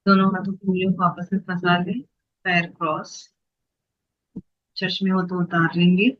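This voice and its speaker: A meditation guide giving instructions in short phrases with unhurried pauses between them. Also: medium pitch, level tone, dry recording, dull audio.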